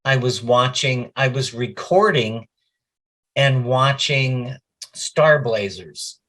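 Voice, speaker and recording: Slow-paced middle-aged man chatting. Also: medium pitch, animated, a bit bright. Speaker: voice actor being interviewed. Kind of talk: casual anecdotal interview chat.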